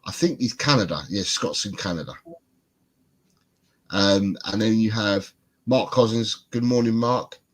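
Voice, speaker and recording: A man's voice: fairly low pitch, measured pace, pauses often. A man hosting a casual livestream chat with a British accent, congested and bunged up, rambling and repeating himself. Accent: British English. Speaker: podcast host.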